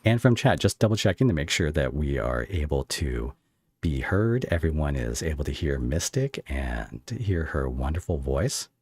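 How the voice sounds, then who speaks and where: Very deep-voiced man, animated podcast host. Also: normal speed, slightly rough, dry recording. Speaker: podcast host interviewing a guest. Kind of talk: casual livestream podcast interview.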